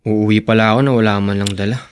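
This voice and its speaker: A man's voice: lowish voice, level tone, rapid-fire, clear, resonant tone, lightly acted. A man playing a hot-headed, defiant young worker with a blunt, aggrieved manner in a radio drama. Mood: aggrieved, resigned.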